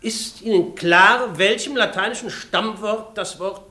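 Shrill, pompous school inspector. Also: male voice, unhurried, bright, forceful tone. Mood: sarcastic, menacing. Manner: pompous, mock-courteous, ornate phrasing. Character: pompous, sarcastic male school inspector belittling a teacher. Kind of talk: theatrical radio play speech. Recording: echoey, reverberant room.

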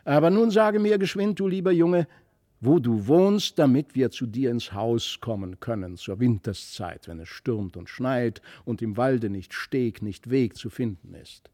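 A man's voice: mid-range voice, animated, a little slow, mellow, no echo, studio quality, lightly acted. A man voicing an eager, impetuous, curious young boy in a storybook reading. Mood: eager, pressing. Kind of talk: literary audiobook reading.

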